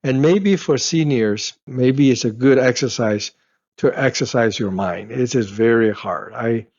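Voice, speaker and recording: A man's voice: medium pitch, unhurried, darkish tone. A man from Beijing with a Chinese accent, a native Mandarin speaker, explains the language to beginners. He talks casually and conversationally, with frequent "right?" check-ins and "uh" fillers. His voice sits mid-range, and the audio is a little dull and lo-fi. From Beijing, China. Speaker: Chinese tutor.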